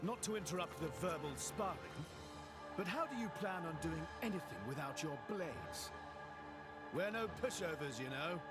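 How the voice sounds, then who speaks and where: An actor playing a cocky, showy warrior prince in a video game, boastful, jaunty and dramatic. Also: male voice, fairly high pitch, lively intonation, moderate pace, over loud music, close to an everyday voice. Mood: challenging. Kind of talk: dubbed video game cutscene acting.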